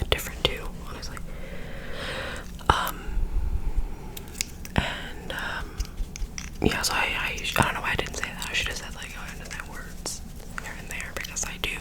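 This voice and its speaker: A young woman whispering a rambling story into a close microphone while doing her makeup, often correcting herself as she goes. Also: low-quality recording. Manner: rambling, self-correcting, filler-heavy storytelling. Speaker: ASMR creator.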